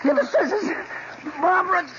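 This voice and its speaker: A man's voice: extremely high pitch, moderate pace, a bit bright. A man acting a terrified husband begging desperately for help in a 1970s radio drama, his cries strained. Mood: panicked pleading. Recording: noisy, muffled 1970s radio recording.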